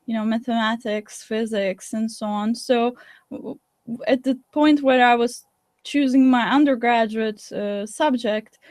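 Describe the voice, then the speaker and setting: A woman from Turkey, a scientist answering interview questions in a thoughtful, measured way with frequent fillers and restarts, heard over a video call. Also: a little high, fairly even intonation, a little mellow, no echo. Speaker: guest scientist.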